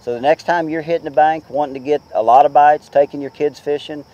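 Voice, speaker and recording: A man in his forties giving casual fishing tips to the camera from a boat on a lake, talking in a relaxed, chatty way with many fillers. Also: on the high side, a little fast, lo-fi sound, slight background noise. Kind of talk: casual how-to talk to camera.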